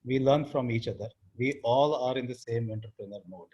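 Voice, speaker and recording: A man in his fifties speaks English with an Indian accent, his voice husky and set at an ordinary middle pitch. He talks in a halting way, with fillers, restarts and phrases that trail off quietly. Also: highs cut off. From India (Uttar Pradesh). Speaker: interview guest, business founder. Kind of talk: casual interview talk.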